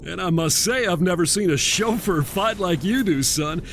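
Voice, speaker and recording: A man's voice: sits high, normal speed, slight background noise. An actor playing a blustery middle-aged galactic president and father in a video game, commanding and authoritative. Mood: gruffly jovial. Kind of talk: video game cutscene acting.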